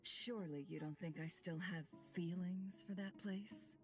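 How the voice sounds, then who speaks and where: An actress playing a guarded, aloof wanderer with a bitter past in a video game, talking in a cool, dry, sardonic way. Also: female voice, lowish voice, a little slow, music in the background. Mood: sardonic, dismissive. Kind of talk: scripted video game voice acting.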